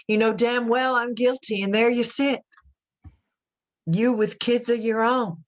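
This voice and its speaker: A woman acting in a radio drama as an anguished, guilt-ridden mother in prison, speaking in a halting, confessional way. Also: lowish voice, dull audio, close to an everyday voice. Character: guilt-ridden imprisoned mother who killed her daughters.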